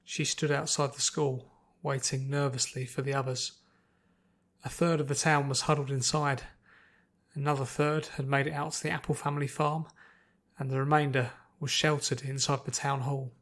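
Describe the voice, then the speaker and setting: A man reading scripted fiction as an audiobook narrator, in a measured, dramatic way. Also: medium pitch, level tone, a bit bright.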